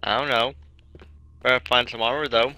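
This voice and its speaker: A man's voice: mid-range voice, fairly even intonation, moderate pace, bright, forceful tone. A man chatting casually in character as he plays a roleplay video game. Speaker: gamer in a roleplay series. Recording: faint game music behind the voice. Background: game music.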